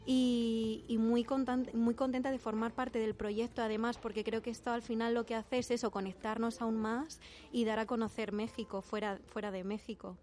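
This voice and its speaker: A woman's voice: on the high side, level tone, normal speed, a little mellow. A woman from Spain with a Spanish accent, an upbeat interview guest who restarts phrases as she talks. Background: music playing.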